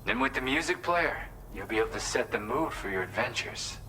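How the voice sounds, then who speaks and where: A man's voice: medium pitch, wildly swooping pitch, fairly quick, vocal fry, full character voice, rough recording. An actor playing a friendly, upbeat man in a video game, talking in a casual, welcoming way. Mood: marvelling. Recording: loud ambient noise behind.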